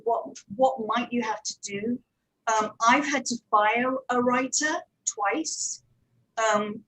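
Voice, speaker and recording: A woman presents on a panel with a fairly high voice. She talks informally and practically, like a working producer giving advice to colleagues. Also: poor audio. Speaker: panel speaker, audio drama producer.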